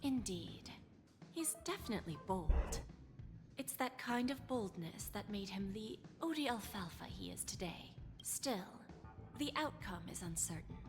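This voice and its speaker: A woman playing a composed, shrewd, elegant businesswoman in a video game, speaking in a measured, cryptic, teasing way. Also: mid-range voice, very animated. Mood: poised, calculating. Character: composed, shrewd, elegant older-sister businesswoman, coolly calculating. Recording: game audio with prominent background music.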